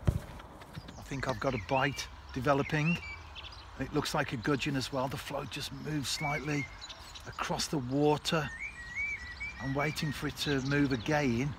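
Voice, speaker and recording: A man in his fifties giving a casual instructional fishing vlog in a measured, explanatory way, in short phrases. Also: medium pitch, steady tone. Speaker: angler and vlogger. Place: outdoors on a canal bank. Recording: clear outdoor background noise.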